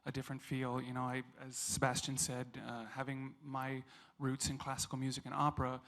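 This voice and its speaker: A mid-pitched male voice answering an interview at a calm, measured pace. He speaks reflectively, taking his time as he lays out his ideas. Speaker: singer with opera training.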